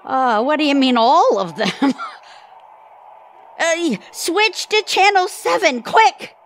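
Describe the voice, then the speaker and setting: A woman's voice: high voice, lively intonation, slow pace, a little hiss or hum. A woman playing a sarcastic, frazzled older wife with a dry, wisecracking manner in an audio play. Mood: alarmed. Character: Annie, sarcastic, frazzled retirement-age wife. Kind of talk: audio theatre acting.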